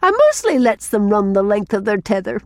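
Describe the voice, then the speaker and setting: A woman voicing an imperious old mother-in-law in an audiobook, scolding with clear authority and emphasis. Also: mid-range voice, very animated, brisk, no echo. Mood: amused. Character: imperious old mother-in-law, bossy, resolute, secretly amused. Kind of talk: audiobook character voice.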